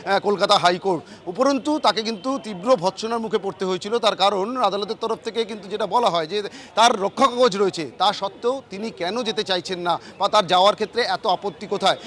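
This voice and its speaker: West bengal bengali guy reporting live news, high voice, talks really fast, repeats and stresses stuff. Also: fairly bright tone. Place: outdoors outside a court complex.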